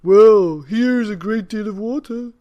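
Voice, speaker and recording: A man's voice: high-pitched, takes it slowly, dark tone, no echo, lo-fi sound, pitched above the performer's own voice. A man voicing a kindly, eager very old man in a children's storybook, with an exclamatory delivery. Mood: reassuring.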